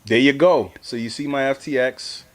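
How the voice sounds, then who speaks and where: Male guest, middle-aged or older, mid-pitched, easygoing colloquial storyteller in a casual interview. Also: normal speed. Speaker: amateur radio operator, award-winning guest. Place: live video stream.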